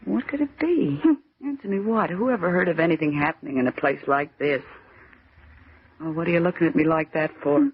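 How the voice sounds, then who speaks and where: A woman's voice: low-pitched, brisk, husky. An actress playing a brash, skeptical young office woman with an American accent, snappy and insistent as she hides her fear, in old-time radio horror style. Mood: scoffing impatience. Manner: snappy, insistent, scoffing, repeats herself. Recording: muffled, narrow-band vintage radio recording.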